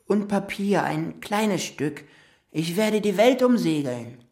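A woman playing a naive, stubborn, dreamy male would-be seafarer with an earnest, deliberate way of talking in a children's radio play. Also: medium pitch, animated, darkish tone. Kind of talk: German children's radio drama acting.

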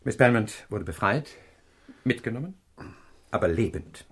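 An actor playing a pompous, self-assured professor detective in a radio crime play, lecturing pedantically with theatrical flourish. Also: male voice, lowish voice, animated, moderate pace, breathy edge. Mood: smug, expository.

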